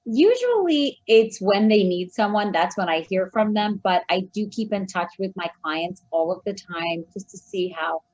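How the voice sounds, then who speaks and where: A woman giving friendly, measured answers as a guest in an interview. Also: medium pitch, lively intonation, a little mellow, muffled recording. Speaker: guest, recruiting firm founder.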